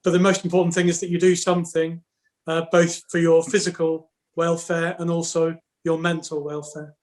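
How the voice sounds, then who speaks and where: Steady, flat-voiced man. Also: a little high. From United Kingdom. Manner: measured and steady, explaining step by step. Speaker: assistant referee guest. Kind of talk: answering questions on a webinar. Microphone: video call.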